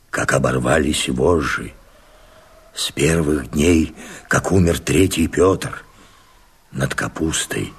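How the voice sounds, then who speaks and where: A bitter old Cossack elder in a radio verse drama. His voice is extremely low and husky. He declaims very slowly, weighing each phrase with defiance. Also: full character voice. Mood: bitter lament.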